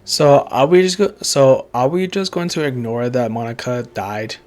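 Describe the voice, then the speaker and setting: Guy reacting to a game, slangy, average pitch, some music behind. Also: lively intonation, normal speed, a little mellow. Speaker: gamer reacting to a playthrough. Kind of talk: casual gameplay commentary.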